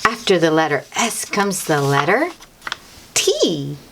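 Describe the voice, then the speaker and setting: A woman's voice: lowish voice, wildly swooping pitch, takes it slowly, a bit bright, a little hiss or hum. A woman hosting a children's read-aloud, reading a picture book in a teacherly way, repeating and stressing key words and talking directly to the young listener.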